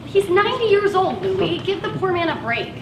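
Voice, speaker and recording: Woman playing a high-voiced, harried van driver. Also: big pitch swings, normal speed, crowd noise, exaggerated voice. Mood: exasperated, harried. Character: stressed, patient, kindly woman van driver. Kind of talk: live one-act stage play acting. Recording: echoey stage from far off, noisy audience.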